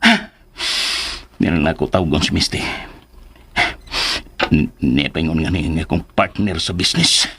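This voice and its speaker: A man with a Cebuano accent playing a frustrated, self-pitying suitor in a melodramatic radio drama monologue, his voice husky. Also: very deep voice, normal speed, long pauses. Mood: anxious, brooding. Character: jilted, scheming suitor, brooding and self-pitying.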